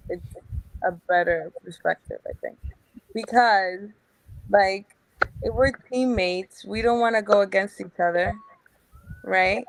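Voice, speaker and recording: A woman's voice: mid-range voice, a little hiss or hum, rough recording. A woman from New York speaks as a guest on a casual podcast panel. She jumps in eagerly to give her view. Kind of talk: casual discussion.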